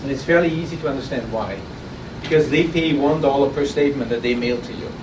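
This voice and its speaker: A man with an Austrian accent giving a live startup pitch to an audience, talking conversationally and often restarting his sentences. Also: fast talker. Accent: Austrian German. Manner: conversational with frequent restarts and repeated words. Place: conference room at an innovation event. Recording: roomy, distant, noisy audience recording.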